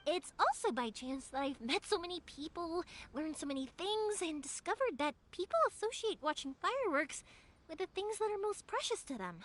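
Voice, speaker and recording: A woman playing a cheerful, warm-hearted young firework maker in a video game, chatty and sincere, with a girlish voice. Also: very high voice, sing-song, moderate pace, exaggerated voice. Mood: earnest, reflective. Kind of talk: video game character acting. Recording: music behind the voice.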